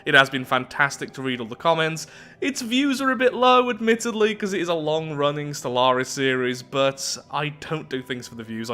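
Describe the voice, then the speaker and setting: A man hosting a video game playthrough, talking through it in an animated, chatty way and thinking aloud. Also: mid-range voice. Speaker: YouTube gaming host. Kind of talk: game playthrough commentary, reading event text aloud.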